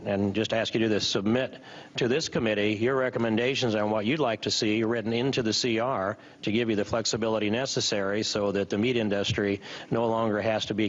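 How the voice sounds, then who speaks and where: A man formally questioning an official at a legislative hearing, with deliberate wording. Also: fairly low pitch. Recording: dull hearing-room archive clip.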